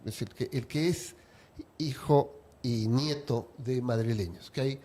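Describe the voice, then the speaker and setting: Mellow-voiced writer in interview. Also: male voice, medium pitch. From Peru. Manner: reflective, unhurried, with small restarts. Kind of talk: relaxed interview answers.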